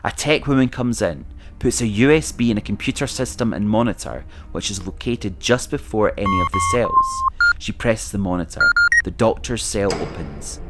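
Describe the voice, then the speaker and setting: A man's voice: lowish voice, wildly swooping pitch, normal speed. A man reading scripted narration as the narrator of an audio drama.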